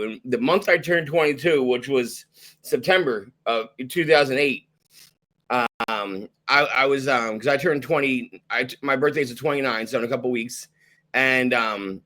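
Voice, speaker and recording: A man in his thirties hosting a podcast, laid-back and quick to laugh, swearing casually. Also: medium pitch, pushed and bright. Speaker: podcast host. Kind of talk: casual interview chat.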